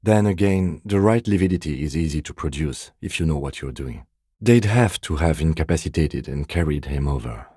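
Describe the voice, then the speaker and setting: A meticulous male police detective from a video game, with an extremely low, mellow voice. He is deadpan and restrained, measuring out each remark with dry sternness. The voice is slightly husky. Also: moderate pace, no room sound, exaggerated voice. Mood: clinical, dry. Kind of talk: scripted game dialogue.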